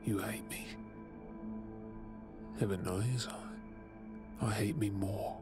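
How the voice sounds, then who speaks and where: An Australian man acting a tormented, guilt-ridden captive mutant in an audio drama, speaking in a husky, breathy, subdued and halting way. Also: on the low side, fairly even intonation, loud music behind the voice. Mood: remorseful, pleading.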